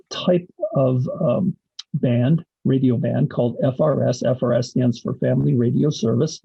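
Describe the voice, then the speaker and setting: Gravelly, husky man giving an informal presentation. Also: mid-range voice, muffled recording. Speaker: college instructor and radio club advisor giving a presentation. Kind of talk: informal slide presentation, explaining.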